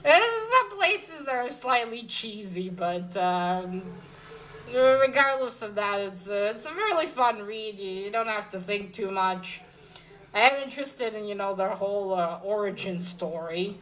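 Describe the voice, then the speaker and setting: Female comic reviewer, medium pitch; unscripted, halting, self-correcting and blunt, recorded with slight noise behind her. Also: expressive, a bit bright, rough recording. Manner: hesitant, rambling, with self-corrections.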